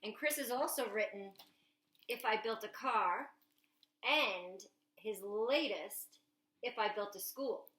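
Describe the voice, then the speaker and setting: A woman, a children's librarian, hosting a story time from a living room, talking to children in a slow, animated way. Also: mid-range voice. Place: home living room with a couch. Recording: echoey room.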